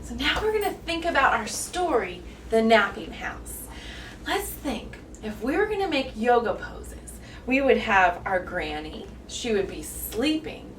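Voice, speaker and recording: A woman's voice: fairly high pitch, very animated, a little slow, a little hiss or hum. A woman teacher guiding young children through yoga poses step by step, with encouraging, simple singsong talk. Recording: echoey room, distant mic, music behind.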